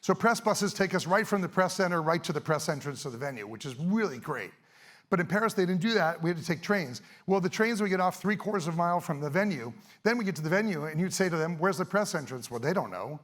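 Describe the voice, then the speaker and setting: A middle-aged man, a little hoarse, giving a fast, energetic, casual talk to a live audience on an event stage. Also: high-pitched. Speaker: event speaker, sports photographer.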